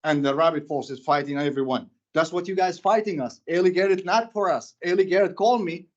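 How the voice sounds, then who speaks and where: A man with a Sudanese accent making an impassioned, halting public comment at a board meeting, often restarting and repeating himself. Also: on the high side, highs cut off.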